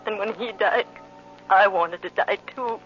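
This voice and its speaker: A woman's voice: medium pitch, a little slow, a bit bright, soft background music, close to an everyday voice. An actress playing a grief-stricken, vengeful young widow in 1940s radio drama, intense and dramatic, repeating her phrases obsessively. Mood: bitter, haunted. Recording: muffled, noisy old radio recording.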